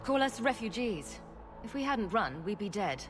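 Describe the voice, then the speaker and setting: An actress playing a serious, guarded scientist who leads refugees in a video game, speaking in a measured, earnest way. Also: female voice, medium pitch, big pitch swings, close to an everyday voice. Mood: grave, guarded. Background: game music.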